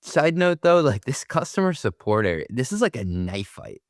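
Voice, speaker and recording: A man's voice: mid-range voice, very animated, moderate pace, no echo, studio quality. A man co-hosting a business podcast, talking casually in informal, colloquial language. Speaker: podcast co-host.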